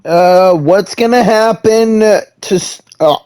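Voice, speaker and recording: A man's voice: high voice, slow pace, darkish tone, clean tone. A man playing an earnest, polite young adventurer in a video game.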